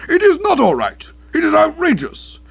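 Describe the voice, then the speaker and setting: A man's voice: a little high, sing-song, brisk, vocal fry, breathy edge, dry recording, lo-fi sound, heavily acted. A man voicing a pompous, blustering older British lord and magistrate who speaks in orotund, long-winded sentences. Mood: outraged.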